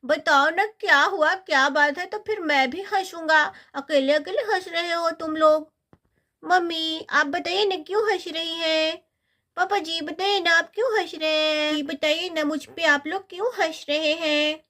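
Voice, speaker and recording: A woman's voice: very high-pitched, fairly even intonation, measured pace, bright, forceful tone, heavily acted. A woman voicing a whiny, indignant young boy in a children's cartoon story. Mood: puzzled. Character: indignant young boy, sleepy whining brother.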